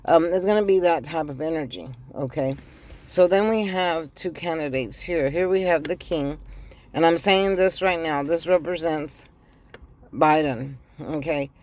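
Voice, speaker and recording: Raspy-voiced woman chatting from her car. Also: deep voice, measured pace, darkish tone. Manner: chatty, addresses viewers directly, hesitant ums. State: raspy voice after the flu. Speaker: tarot reader. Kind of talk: casual tarot reading to camera. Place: inside a car. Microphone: phone held in one hand.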